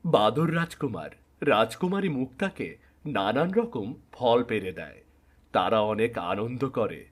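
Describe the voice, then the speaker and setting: A man's voice: medium pitch, sing-song, unhurried. A man narrating a scripted fairy tale as a storyteller.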